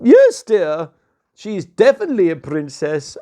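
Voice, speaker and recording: A storyteller voicing a bossy, fussy old queen in a bedtime tale, with a high, loud, fluttering delivery. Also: wildly swooping pitch, measured pace. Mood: fussing, proud.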